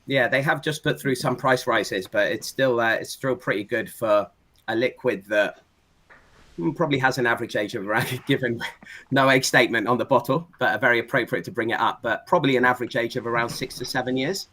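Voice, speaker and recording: A man's voice: mid-range voice, a little fast, bright, forceful tone. A man chatting casually and wryly in a roundtable on a video call. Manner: chatty and wry. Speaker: whisky video maker.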